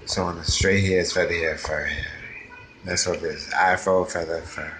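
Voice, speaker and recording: A man's voice: deep voice, steady tone, fairly bright tone, slight background noise, rough recording. An American man hosting his own show, delivering a rambling monologue full of ums and restarts. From United States. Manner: halting, with frequent ums and restarts. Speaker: host.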